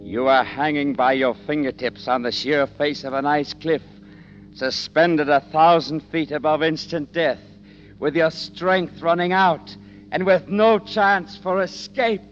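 A man's voice: on the high side, fairly even intonation, a little slow, close to an everyday voice. A man delivering suspense narration dramatically in a 1940s radio style. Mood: suspenseful. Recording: muffled old radio, faint music.

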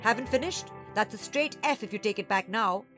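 A woman's voice: medium pitch, animated, brisk, over music, pitched above the performer's own voice, close to an everyday voice. A woman voicing a feared, strict female English teacher, snapping sternly with a screeching voice in a children's story.

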